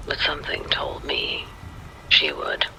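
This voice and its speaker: A man narrating a scripted spooky story in the first person, in a hushed, deliberate, suspenseful manner. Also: whispered, rough recording.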